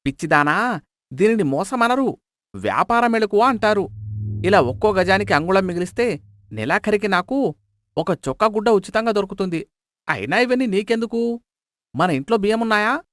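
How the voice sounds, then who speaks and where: A man's voice: sits high, expressive, normal speed, long pauses, fairly bright tone, no room sound. A man playing a sly, miserly cloth merchant in a moral story, wheedling, exclaiming and justifying himself. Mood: indignant, scheming.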